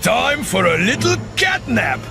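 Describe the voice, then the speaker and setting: A man's voice: extremely high pitch, big pitch swings, measured pace, vocal fry, over music, exaggerated voice. A man playing a mocking, gloating supervillain with theatrical flair in a video game cutscene. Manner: theatrical, taunting.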